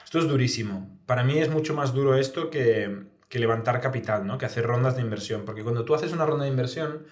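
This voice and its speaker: A man's voice: medium pitch, fast. A man with an accent from Spain gives reflective, explanatory answers in a conversational interview, often adding little tag questions. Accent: Spanish from Spain. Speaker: guest, startup founder. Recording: echoey, reverberant room sound.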